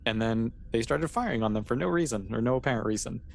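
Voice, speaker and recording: A man's voice: medium pitch, expressive, quick pace. A man narrating a tabletop role-playing game as game master, in an informal, hesitant, piecemeal way. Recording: clear background noise.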